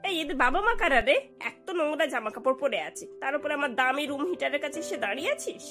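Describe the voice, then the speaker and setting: A woman's voice: sits high, expressive, fast talker, a bit bright, soft background music. A woman playing a haughty, short-tempered rich mistress of the house in a cartoon, commanding and scolding. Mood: angry scornful.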